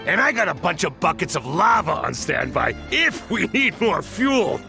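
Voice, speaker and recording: High, bright, gravelly tribesman. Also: big pitch swings, normal speed, faint music behind, full character voice. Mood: jovial. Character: eager, helpful tribesman pitching in. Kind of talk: anime-style video game voice acting.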